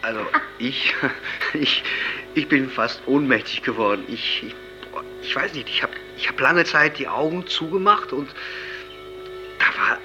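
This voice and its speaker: Overwhelmed young dude in radio drama, stammers, repeats words, bright voice, muffled tape with faint music. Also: male voice, medium pitch, unhurried. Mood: dazed, amazed. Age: young adult. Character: overwhelmed young tripper, dazed, stammering, full of wonder. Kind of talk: naturalistic radio drama dialogue. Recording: dull lo-fi tape, music underneath.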